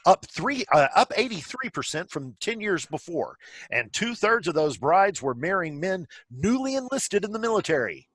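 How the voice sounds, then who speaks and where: A man's voice: high-pitched, sing-song, moderate pace, fairly bright tone, no room sound. A man reading scripted, news-style announcements as the announcer in a play reading over a video call.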